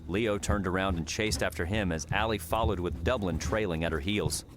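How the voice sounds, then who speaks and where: A man's voice: deep voice, normal speed, soft background music. A man reading dramatic fiction as a scripted audiobook narration.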